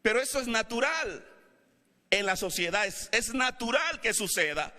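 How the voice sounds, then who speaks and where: A male pastor with a Latin American Spanish accent preaches in a church, his voice bright and edged as he swings from steady teaching to shouted calls to the congregation. His pitch sits high for a man, and long hall reverb trails each phrase. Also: moderate pace. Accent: Latin American Spanish, not Mexican. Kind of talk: sermon. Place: church sanctuary.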